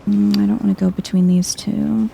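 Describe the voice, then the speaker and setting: A soft-spoken woman giving chill, casual game commentary in an ASMR vibe, murmuring as if to herself. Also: medium pitch, level tone, normal speed, vocal fry, faint music behind. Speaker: gameplay streamer.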